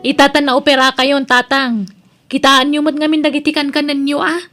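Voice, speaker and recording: A woman's voice: high voice, flat delivery, a little fast, music in the background, close to an everyday voice. A woman with an Ilocano accent acting a resentful, nagging young daughter in a radio drama. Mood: scolding. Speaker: drama voice actor.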